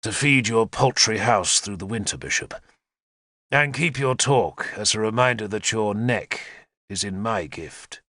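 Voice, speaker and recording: A man's voice: fairly low pitch, measured pace, sharp tone, dry recording. Audiobook warlord, read by a male narrator: commanding, dry, sardonic, close dry sound. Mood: coldly sardonic. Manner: curt, sardonic, authoritative.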